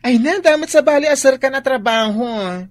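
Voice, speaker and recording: A woman's voice: sits high, animated, normal speed. A woman with an Ilocano accent acting a timid, plaintive, effeminate son in a radio drama. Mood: subdued, reluctant. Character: tearful, timid effeminate son, mistreated by father.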